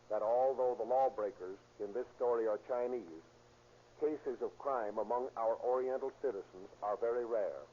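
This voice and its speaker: A man's voice: mid-range voice, warm tone, a little hiss or hum. A man giving a formal, scripted 1930s radio address as a police official. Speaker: police official introducing the drama. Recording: muffled, humming 1930s radio recording.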